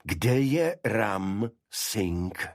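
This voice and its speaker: A man's voice: lowish voice, very animated, no echo, clean studio sound, pitched above the performer's own voice. A man voicing a relentless male detective in an audiobook, pressing his questions with accusation.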